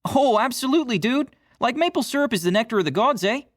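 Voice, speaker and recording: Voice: mid-range voice, animated, fast talker, no room sound, clean studio sound, natural voice. An actor playing an over-polite cartoon Canadian who speaks hesitantly with a Canadian accent and keeps apologizing. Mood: eager, apologetic. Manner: hesitant, constantly apologizing, tags sentences with eh. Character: over-polite, apologetic Canadian teen stereotype under a spell. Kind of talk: scripted character dialogue.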